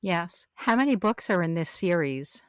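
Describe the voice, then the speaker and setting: A woman's voice: fairly low pitch, expressive, normal speed, clean tone, dry recording, studio quality. A woman hosting a casual podcast interview in a conversational way.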